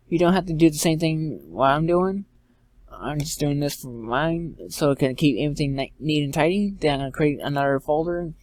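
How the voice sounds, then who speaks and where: A man giving an informal step-by-step software tutorial in a halting way, repeating and correcting himself as he goes. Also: on the high side, no room sound. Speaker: tutorial presenter. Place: indoors, screen-recording voice-over.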